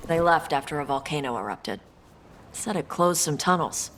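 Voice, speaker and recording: A woman's voice: deep voice, expressive, normal speed, a bit bright, slight background noise. An actress playing a tough dragon hunter woman in a video game, speaking in a terse, matter-of-fact way. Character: blunt, tough Qunari dragon hunter woman from a seafaring land. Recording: game sound effects over voice.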